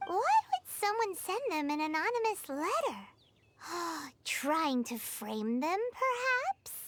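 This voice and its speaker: Game sidekick fairy: childlike, extremely high, slow and dramatic, blunt and excitable. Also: female voice, heavy background noise, exaggerated voice. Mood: inquisitive. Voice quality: squeaky, childlike. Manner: exclamatory, dramatic, refers to self in third person. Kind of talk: video game character dialogue. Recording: studio voice over game music.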